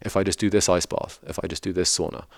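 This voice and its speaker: A man's voice: low voice, fairly even intonation, fast, vocal fry, no room sound. A middle-aged man from of Lebanese background, talking earnestly as a spiritual mentor on a podcast, often restarting his sentences. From Lebanese, grew up in London. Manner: thoughtful, earnest, frequent restarts. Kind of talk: reflective podcast conversation.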